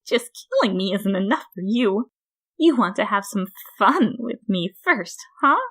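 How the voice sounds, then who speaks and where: A woman's voice: mid-range voice, animated, unhurried, fairly bright tone, slightly rough, full character voice. A woman voicing a small, spiteful male flower monster from a storybook reading, who shrieks and sneers. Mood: sneering.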